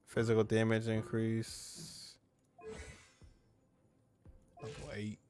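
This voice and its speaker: A man's voice: lowish voice, monotone, slow pace, darkish tone, faint music behind. A man giving informal, reactive gameplay commentary, half talking to himself with frequent exclamations. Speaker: gaming streamer.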